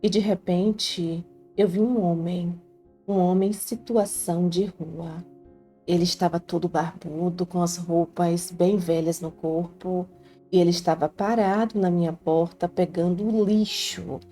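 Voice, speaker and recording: Low, mellow Brazilian woman storyteller. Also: steady tone, a little slow. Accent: Brazilian Portuguese. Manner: earnest, heartfelt confiding tone. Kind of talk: first-person scripted narration. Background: faint background music.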